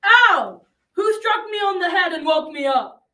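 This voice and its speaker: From a roomy, distant mic comes a huge, grumpy father giant played by a young girl. The voice is high and loud, blustering and quarrelsome in radio drama style. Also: very animated, measured pace, very noisy, full character voice. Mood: outraged. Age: young teen. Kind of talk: school radio drama acting.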